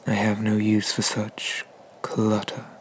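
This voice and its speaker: A man voicing an ancient, haughty and menacing dragon god of the sea in a breathy, pompous whisper for an ASMR roleplay. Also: lowish voice, monotone, slight background noise, low-quality recording. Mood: mocking, menacing. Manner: slow, pompous, condescending, with drawn-out pauses.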